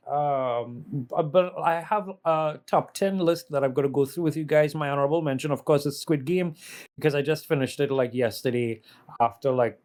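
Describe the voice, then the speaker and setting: A man's voice: fairly high pitch, darkish tone. A man on a podcast panel talking casually through a review list, explaining as he goes with opinionated asides.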